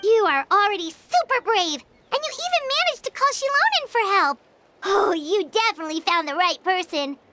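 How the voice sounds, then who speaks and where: A woman voicing a tiny, excitable, childlike fairy companion in a video game, with a chirpy, earnest, squeaky delivery. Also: normal speed, noisy background. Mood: reassuring, proud. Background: music.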